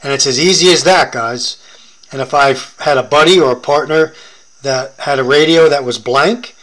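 A man's voice: fairly high pitch, fairly bright tone, low-quality recording. An American man demonstrating a product in a casual hands-on tutorial, explaining informally step by step.

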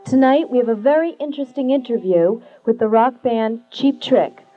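Young woman interviewer, slightly high voice, earnest, laughs a lot, handheld mic, tinny hum-laden video sound. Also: lively intonation, normal speed. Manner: earnest, laughs often, reads questions from notes. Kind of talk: live studio interview. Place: small room with padded curtain backdrop.

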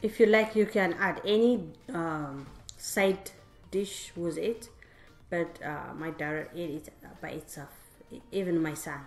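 A woman's voice: lowish voice, a little slow, soft background music. A woman, a native Tigrinya speaker, chatting her way through a cooking demonstration at home and often speaking straight to her viewers. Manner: chatty, explains step by step, often addresses viewers directly. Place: home kitchen, indoors. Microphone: camera mic, speaker off-screen behind the camera. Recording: echoey home kitchen.

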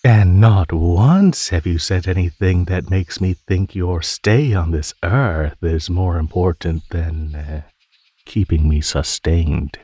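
A man voicing a teasing, menacing male naga in an ASMR roleplay, speaking in a mocking, condescending drawl with pauses. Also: low-pitched, warm tone, husky, no room sound, full character voice. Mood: smug, threatening. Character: teasing, menacing male naga predator toying with captive prey.

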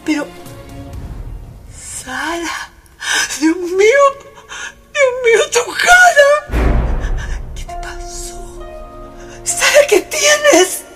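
A woman's voice: extremely high pitch, big pitch swings, very slow, pauses often, a bit bright, exaggerated voice. An actress playing a caring, worried female friend in a radio drama, speaking in an anxious, urgent manner. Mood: horrified. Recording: background music under voice.

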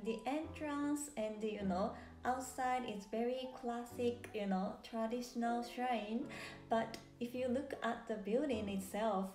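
A woman's voice: fairly high pitch, darkish tone, roomy sound. A young woman with a Japanese accent chatting casually to a camera in a living room. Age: young adult.

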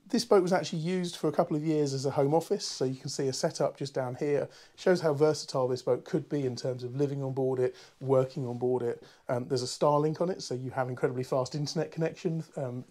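A man, a bit hoarse from a cold, chatting through a walkthrough tour of a barge. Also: medium pitch, mellow. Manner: chatty and upbeat, many ums. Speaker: boat broker. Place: inside a barge, small cabin rooms.

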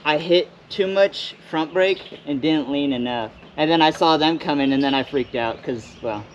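A man talking quietly and sheepishly outdoors by a lake. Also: a little high, normal speed, lo-fi sound, a little hiss or hum. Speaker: friend who crashed. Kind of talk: casual chat.

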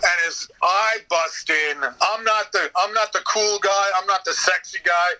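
A man chatting casually as an interview guest, telling stories in an animated way. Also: high voice, a little slow, rough recording. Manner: animated storyteller, slips into acting out bits. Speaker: interview guest, radio theater voice actor. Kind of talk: casual anecdotal interview chat.

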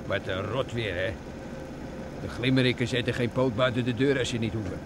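An actor playing a slangy petty car thief's accomplice in a vintage radio play. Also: male voice, mid-range voice, brisk, close to an everyday voice. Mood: gruff, chatty. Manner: colloquial underworld slang. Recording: old radio recording with car noise.

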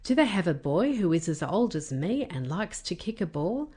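A woman voicing an eager, impatient young boy in a children's storybook, excitable and full of questions. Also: on the low side, normal speed, slightly rough. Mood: eager, excited.

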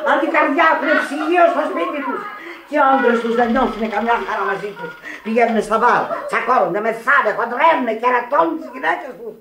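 A bitter, passionate widow from Sicily in a radio play. Her theatrical delivery swings widely up and down, with emphatic repetitions. The recording has a clear echo around the voice. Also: on the high side, animated, few pauses. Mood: scornful, agitated. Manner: theatrical, emphatic, repeating herself. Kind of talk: radio drama acting. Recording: echoey radio drama recording.

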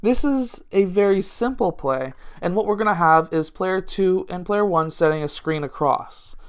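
Clear-voiced man coaching basketball plays. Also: high voice, expressive, normal speed. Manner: plain, talks through plays step by step. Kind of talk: casual instructional explanation. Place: small indoor room at home.

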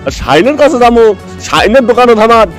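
A man's voice: very high voice, very animated, quick pace, soft background music. A man voicing a scolding, scheming middle-aged village father in a comic cartoon, grumbling and threatening in a Bogra accent from northern Bangladesh. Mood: irritated. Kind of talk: comic cartoon dubbing in rural dialect.

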